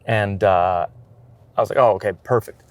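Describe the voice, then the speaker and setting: A man chatting casually and informally as a documentary photographer on the road, in a loose, halting way. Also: fairly low pitch, fairly even intonation, no echo. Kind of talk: casual vlog chat while out shooting.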